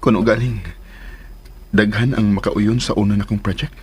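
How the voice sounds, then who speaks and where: A man's voice: on the low side, steady tone, normal speed, a little mellow, lightly acted. An actor with a Filipino accent playing an earnest, determined adult son confiding in his mother in a radio drama. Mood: sincere, confiding. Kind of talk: Philippine radio drama acting. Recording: background noise.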